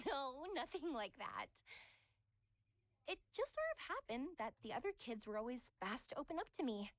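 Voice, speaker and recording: A woman's voice: high voice, sing-song, normal speed, no echo. A woman voicing a refined, affectionate young psychologist in a visual novel game, with genteel, careful phrasing. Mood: warm, interested.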